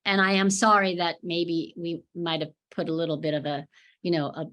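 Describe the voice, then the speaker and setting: A woman's voice: lowish voice, little pitch movement, normal speed, fairly bright tone. A woman giving thoughtful interview answers, often hesitating as she searches for words. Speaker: documentary filmmaker being interviewed.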